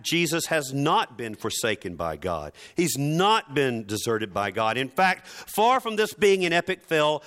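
Slow, deliberate male preacher. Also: on the high side, a bit bright. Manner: emphatic, dramatic, deliberate phrasing with repetition. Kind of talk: sermon.

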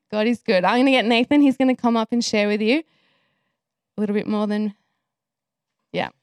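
A woman's voice: fairly high pitch, darkish tone, no room sound, clean studio sound. A woman, a missionary and pastor's wife, giving an earnest, chatty testimony to a church, often correcting herself.

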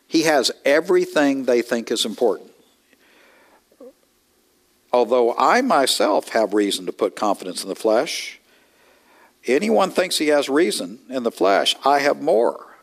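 A middle-aged man preaching a conversational sermon, with wry asides and sudden rises in pitch. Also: lively intonation, a little slow, vocal fry. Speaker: pastor. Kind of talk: expository sermon.

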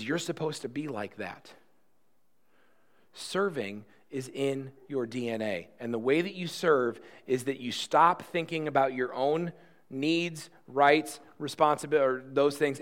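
Male pastor, early 40s, slightly higher voice, chatty and earnest sermon delivery, restarts and asides. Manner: conversational and earnest, with rhetorical questions and self-deprecating asides; repeats words and restarts often.

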